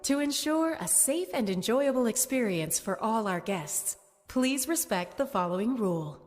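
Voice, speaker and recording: An eerily polite park announcer played by a woman for a game. The voice is fairly high and formal, controlling in tone, washed in long reverb over faint music. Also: animated, normal speed, a little mellow, natural voice. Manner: formal, scripted. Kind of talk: public address announcement.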